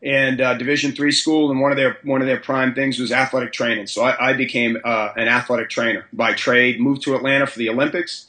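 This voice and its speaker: A man's voice: mid-range voice, level tone, a little fast, few pauses, pushed and bright. A man with a mixed Boston and Southern US accent, an interview guest speaking conversationally and humbly. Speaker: high school strength coach.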